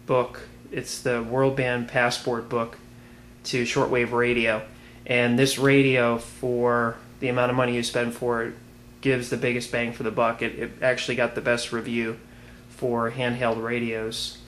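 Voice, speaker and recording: An American man giving an informal product demonstration as a video reviewer, plain-spoken and unhurried. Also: medium pitch, fairly bright tone. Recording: clearly noisy amateur video sound.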